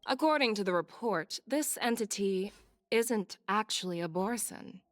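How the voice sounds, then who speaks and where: An actress playing a frank, assured woman general in a video game, shrewd and wryly amused, speaking in measured formal lines. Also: mid-range voice, lively intonation, no room sound, clean studio sound, close to an everyday voice. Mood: serious. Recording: game dialogue over background music.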